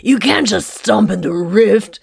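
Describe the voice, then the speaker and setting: A woman voicing a gruff, grumbling male warrior priest with a gravelly, husky voice. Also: mid-range voice, expressive, measured pace, dry recording, exaggerated voice.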